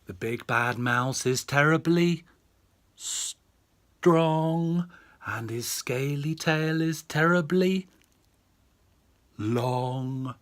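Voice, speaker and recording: A hulking storybook Gruffalo father, boastful and dramatic, spinning a scary tale for his child, as a dad puts him on during a bedtime reading. He drawls slowly, and his lines swell up and then fall away to a low hush.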